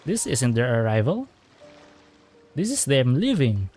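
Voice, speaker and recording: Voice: medium pitch, sing-song, measured pace, dark tone, soft background music. A game streamer casually commenting on a story quest, musing and reacting aloud as they play.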